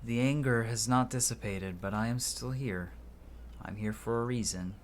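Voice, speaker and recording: A man's voice: mid-range voice, moderate pace, slight background noise, lightly acted. A man voicing a lost, grieving married man in a video game, mournful and earnest. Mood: earnest, pleading.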